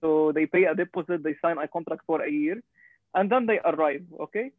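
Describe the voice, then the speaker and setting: A man's voice: fairly high pitch, fairly quick, no room sound. A young man with a Lebanese accent, a native Arabic speaker, telling his own story conversationally and somewhat hesitantly as an interview guest. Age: young adult. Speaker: podcast guest, startup founder. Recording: narrow, phone-like call audio, dry.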